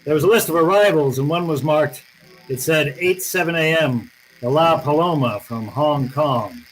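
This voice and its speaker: A man's voice: a little high, moderate pace, faint music behind, close to an everyday voice, poor audio. A man playing a tough, wry private eye in a radio noir drama, terse and slangy, both narrating and in dialogue. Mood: hard-boiled narration. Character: wry, tough, hard-boiled male private detective.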